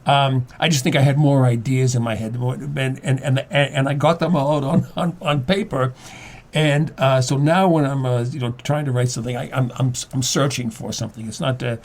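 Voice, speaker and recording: Halting man in his seventies, medium pitch. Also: steady tone, darkish tone. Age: 70s. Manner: halting, repeats words, circles back. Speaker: guest writer. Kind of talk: casual reflective talk.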